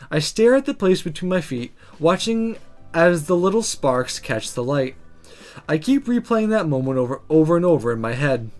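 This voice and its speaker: A man reading visual novel text aloud as dramatic, expressive first-person story narration. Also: a little high.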